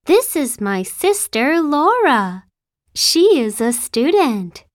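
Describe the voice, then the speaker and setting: A cheerful young schoolgirl from Italy, as a woman reads her, with a high voice that swoops up and down. She speaks at a very slow, careful pace, with each word clearly shaped. The recording is close and dry. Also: big pitch swings, no room sound, heavily acted. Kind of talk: slow, carefully enunciated test dialogue.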